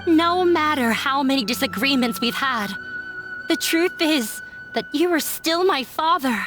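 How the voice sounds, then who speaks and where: An actress playing an earnest runaway daughter standing up to her father in a game dub, with formal, measured delivery. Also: high-pitched, expressive. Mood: reproachful. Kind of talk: dramatic game dialogue. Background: background music.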